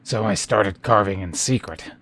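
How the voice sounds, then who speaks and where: A devoted cult sculptor in a fantasy game. The voice is very low and husky, with solemn, measured, secretive reverence. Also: male voice, fairly bright tone. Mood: solemn, reverent. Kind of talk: fantasy video game character dialogue.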